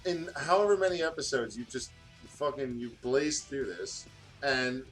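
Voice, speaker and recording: A man's voice: mid-range voice, lively intonation, normal speed, fairly bright tone, faint music behind, low-quality recording. A man doing a comedic read-aloud of fanfiction, stumbling over words and breaking off to comment. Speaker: fanfiction reader on a gaming show.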